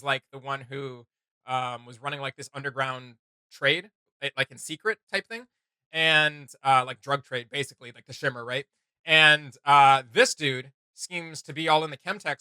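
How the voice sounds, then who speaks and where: A man co-hosting a casual reaction video, rambling with lots of fillers and quick laughs. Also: a little high, sharp tone, smooth, no room sound.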